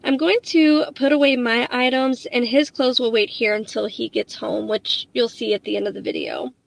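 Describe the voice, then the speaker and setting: A woman in her thirties talks her viewers through household chores in a chatty, upbeat voice-over. Her voice sits a little high and carries a gravelly fry at the ends of phrases. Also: big pitch swings, normal speed. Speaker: cleaning vlogger. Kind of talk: voice-over narration.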